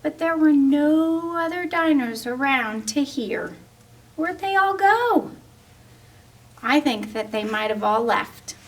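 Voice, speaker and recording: A woman reading a picture book aloud to children, animated and pausing to ask her young listeners questions. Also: sits high, distant mic. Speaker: story reader.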